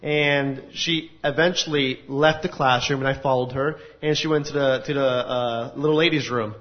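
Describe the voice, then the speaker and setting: A man from the United States giving a personal testimony at a faith gathering, telling his story with earnest, animated energy. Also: medium pitch, normal speed. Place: large room with an audience. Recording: dull lo-fi recording.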